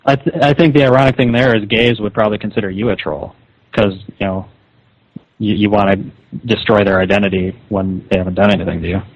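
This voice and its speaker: A man's voice: fairly low pitch, fairly even intonation, fast. Insistent scolding man on a muffled call. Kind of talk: confrontational phone conversation. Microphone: telephone call. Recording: dull lo-fi phone call recording.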